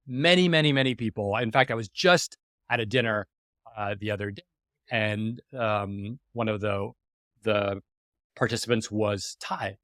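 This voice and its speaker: Animated man in his forties, dry sound. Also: fairly low pitch, a little slow, dry recording. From New York City, USA. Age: 40s. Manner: animated, self-interrupting, with frequent restarts and sudden emphatic jumps. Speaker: podcast host. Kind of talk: personal reflective monologue.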